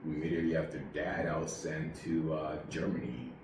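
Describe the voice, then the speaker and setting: A man in his forties from Puerto Rico, an army veteran telling his story in a relaxed interview, halting and full of fillers. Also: a bass voice, very echoey, far from the mic, noisy background. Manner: rambling, halting, many fillers.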